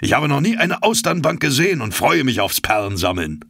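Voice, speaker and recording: Man doing a loud brash harpooner guy, hearty, dry close sound. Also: lowish voice, very animated, fairly quick, dry recording, voice pushed up, heavily acted. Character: bold, boisterous harpooner, adult man.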